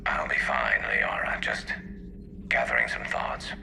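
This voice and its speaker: A man playing a guarded, quietly wry alien loner in a video game, with a rasping low voice. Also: fairly even intonation, unhurried, noisy background, full character voice. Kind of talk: video game character dialogue.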